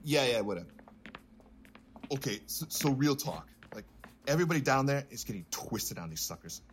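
An actor playing an excitable, scatterbrained male stoner drug dealer, chummy and slangy, in a comic audio drama. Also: mid-range voice, normal speed, slight background noise, natural voice. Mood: eager. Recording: music behind voice.

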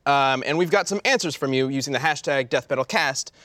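A man's voice: mid-range voice, fairly quick, pushed and bright, no room sound. A man hosting a casual panel chat and reading out listener answers. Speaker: podcast host.

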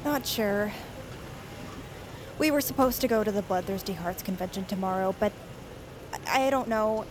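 A woman's voice: medium pitch, moderate pace, noisy background, lightly acted. An actress playing an eager, chatty young woman who rallies her friends, in naturalistic audio fiction. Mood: awkward, hesitant. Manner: hesitant rambling turning to pushy pep talk.

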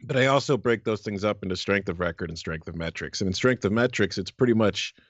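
A man co-hosting a podcast, his voice phlegmy as he keeps clearing his throat while he talks casually. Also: fairly low pitch, quick pace, no room sound. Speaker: podcast co-host. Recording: dull lo-fi sound.

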